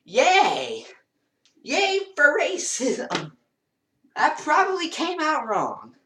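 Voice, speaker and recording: Teen boy, comic vlogger, bouncy high delivery, distant from mic. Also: huge pitch swings, extremely slow, sharp tone, a little hiss or hum. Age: teens. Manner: rambling, jokey, acts out scenes while moving around. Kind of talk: comedic parody storytelling. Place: small basement room, indoors. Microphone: built-in webcam mic across the room. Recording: roomy and distant.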